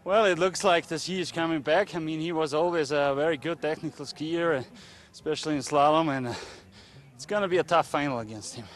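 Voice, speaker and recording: A man's voice: on the high side, a little fast. A man, a ski racer answering earnestly with some hesitation outdoors at a race finish.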